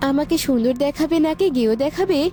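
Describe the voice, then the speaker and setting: A woman acting a headstrong young bride-to-be in an animated moral story, insistent and petulant. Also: sits high, quick pace. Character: headstrong young bride-to-be, a complaining sister-in-law. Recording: faint music behind.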